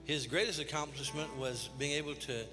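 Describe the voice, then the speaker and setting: A man's voice: a little high, steady tone, normal speed. A man, a pastor introducing guests in church, punctuating with amen. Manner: warm-up host, calls out amen. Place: large church sanctuary. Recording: church hall reverb with music.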